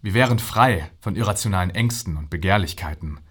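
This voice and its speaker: An actor playing an earnest visionary scientist who explains his research deliberately, with rhetorical questions and emphatic pauses, in audio drama style. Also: sits low, slightly rough. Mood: persuasive, visionary. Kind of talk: audio drama, staged interview.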